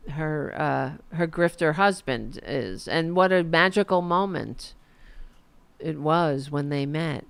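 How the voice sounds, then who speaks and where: A woman's voice: sits low, vocal fry, smooth. A woman hosting a casual political livestream, sarcastic and profane, who is losing her voice. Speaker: political commentary host.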